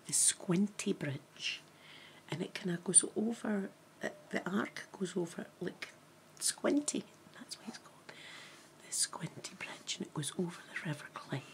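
Whispering Glaswegian woman, gravelly. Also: lowish voice, a little slow. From Scotland. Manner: soft whisper, chatty and rambling. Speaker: ASMR creator. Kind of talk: whispered ASMR makeup role-play with rambling chat. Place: small room at home, indoors. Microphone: camera close to the face.

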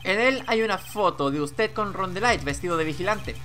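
Guy doing determined young lawyer from a video game, firm, bright voice. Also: high voice, expressive, moderate pace, a little hiss or hum. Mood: accusing. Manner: firm, declarative. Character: earnest, determined young male defense attorney.

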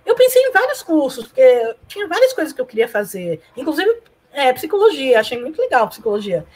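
A talkative woman from Argentina, Spanish her first language, with a fairly high voice. She rambles warmly through memories, laughing often and checking in with little tags. Recorded over a video call from a room at home. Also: sing-song, fairly quick. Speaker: guest, professor and blogger. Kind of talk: casual interview chat.

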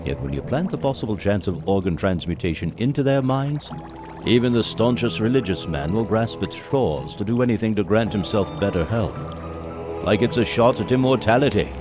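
A man's voice: lowish voice, big pitch swings, moderate pace, music in the background. An actor playing a greedy, calculating 1830s English businessman in an audio drama, with formal, pompous diction. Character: scheming, greedy 1830s English elixir-company boss, cold and calculating. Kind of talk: family audio drama acting.

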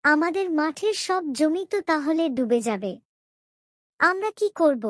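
An eager young village girl acted by a woman in a cartoon fairy tale, with a very high, childlike voice. She chatters excitedly to her parents, recorded close and dry. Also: a little slow, no room sound. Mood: worried, earnest.

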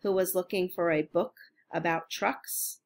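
A woman reading a children's picture book aloud as a storyteller, in a careful, expressive way. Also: fairly low pitch, a little slow. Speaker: storybook reader.

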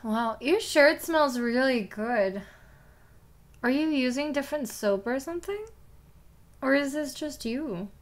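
A woman's voice: high-pitched, animated, unhurried, long pauses. A young woman playing a bossy, teasing step-sister in an intimate ASMR roleplay, often dropping toward a whisper. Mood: teasing, relaxed.

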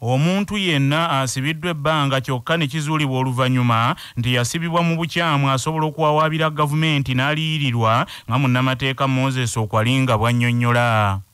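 A man reading the news as anchor in a brisk, formal delivery. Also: mid-range voice, fairly bright tone, clean tone, dry recording.

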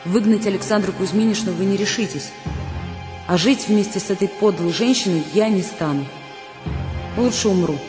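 Radio play: actress as young daughter, wounded yet defiant letter voice, heavy reverb with music behind. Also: female voice, mid-range voice, moderate pace, natural voice. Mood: hurt, defiant. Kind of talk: radio drama acting. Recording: long hall reverb over music.

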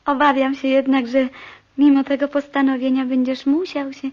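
An actress playing a calculating, seductive nurse and mistress in a radio drama, coaxing and quietly ruthless. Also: female voice, high-pitched, monotone, normal speed, natural voice. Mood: gloating, calculating. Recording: dull, muffled radio play recording.

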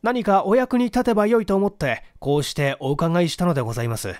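A man's voice: high-pitched, quick pace, smooth, no room sound, studio quality, lightly acted. A man voicing a polite, eager private detective in an audiobook, deferential, formal and earnest. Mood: deferential, solicitous. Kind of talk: audiobook character dialogue.

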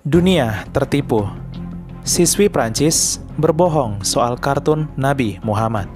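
A man reading a scripted news report as a voice-over, in a measured and earnest tone. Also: mid-range voice, lively intonation. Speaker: channel narrator. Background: faint background music.

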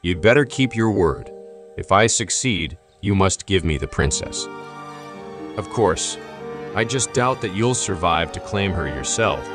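A boastful strong young hero. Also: male voice, low voice, lively intonation, measured pace, music in the background. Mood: confident.

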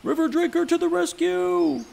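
A man voicing keen young spider sons in a puppet story, exclaiming loudly and insistently. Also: extremely high pitch, moderate pace, gravelly, noisy background, pitched above the performer's own voice, heavily acted. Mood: eager, heroic.

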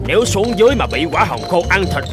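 A self-important cartoon tiger who rules the jungle yet scares easily. His fairly high voice swings dramatically up and down with theatrical bluster, over music. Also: big pitch swings, quick pace. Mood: grave warning. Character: boastful but cowardly tiger, king of the jungle. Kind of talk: animated folk-tale voice acting.

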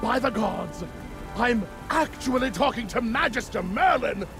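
A man's voice: very high voice, unhurried, over music, heavily acted. A man voicing an eager, talkative craftsman who gushes with admiration in a game cutscene. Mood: starstruck. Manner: excitable, gushing.

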